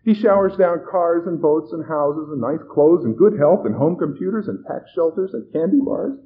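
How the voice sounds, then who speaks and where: A man voicing a giggly, excitable plump woman in a storybook, gushing and pleased with herself. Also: mid-range voice, normal speed, natural voice. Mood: gushing, smug. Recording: muffled recording with background noise.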